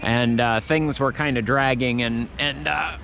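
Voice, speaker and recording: A man's voice: medium pitch, steady tone, a little slow, vocal fry, fairly bright tone, a little hiss or hum. A man vlogging as he walks through an outdoor Christmas market, chatting in a rambling way with many restarts. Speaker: travel vlogger. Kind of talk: casual walking commentary.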